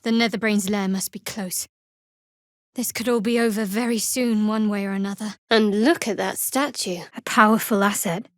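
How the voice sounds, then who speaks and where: A woman playing a guarded, devout young cleric in a video game, with a measured, dry and wry delivery. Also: medium pitch, steady tone, no echo, natural voice. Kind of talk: video game character voice lines.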